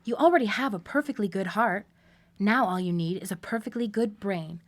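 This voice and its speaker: An actress in a live radio drama playing a coolly manipulative scientist doctor, coaxing and controlled. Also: female voice, mid-range voice, fairly quick, lightly acted.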